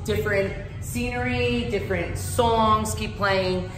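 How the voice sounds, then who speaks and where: A middle-aged woman, a cycling instructor, explaining bike setup in a brisk, matter-of-fact way. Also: mid-range voice, fairly even intonation. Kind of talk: casual demonstration. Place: large gym cycling studio, indoors. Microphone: handheld phone camera. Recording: echoey studio room with music. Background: music playing.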